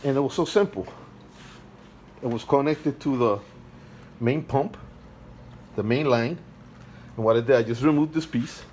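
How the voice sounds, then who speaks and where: A man's voice: medium pitch, lively intonation, a little mellow. Guy with an accent talking on his phone at home, keeps restarting, earnest, bit of hum. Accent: non-native English accent. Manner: halting and repetitive, restarts phrases, earnest and pleading. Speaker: hobbyist vlogger. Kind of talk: casual home video walkthrough. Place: home, beside an aquarium indoors. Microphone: phone held by the speaker while filming.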